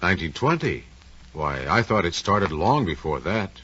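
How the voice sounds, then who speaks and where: A man's voice: sits low, sing-song, moderate pace, a bit bright, a little hiss or hum. A man with an American accent speaking as announcer and interviewer in a scripted 1940s radio program, with polished, folksy delivery. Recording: muffled, lo-fi 1940s radio recording.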